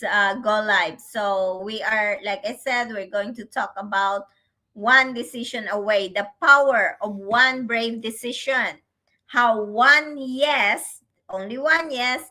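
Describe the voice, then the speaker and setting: Woman in her grandmother years, bright voice, slow pace, higher pitch, livestream host. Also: rough recording. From Philippines. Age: older. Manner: warm-up host, laughs often, repeats phrases. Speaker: online business mentor and livestream co-host. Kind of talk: casual livestream chat.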